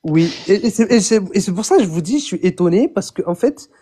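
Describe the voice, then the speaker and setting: A young man from Chad calling in to tell his own story, halting, repeating words and often swearing it's true. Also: high voice, animated, dark tone, husky. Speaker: caller. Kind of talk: phoned-in personal testimony.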